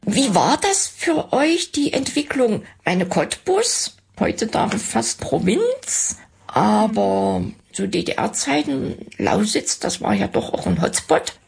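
A mature woman with a very husky, crackling voice asks questions conversationally. Her pitch sits a little high and moves in a lively way. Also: takes it slowly. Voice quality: husky, gravelly.

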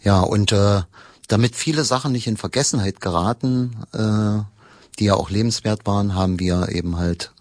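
A middle-aged man with a fairly low voice gives interview answers. He speaks haltingly, pausing often as he explains. The recording is close and dry. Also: no echo. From eastern Germany. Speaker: local historian, history workshop leader.

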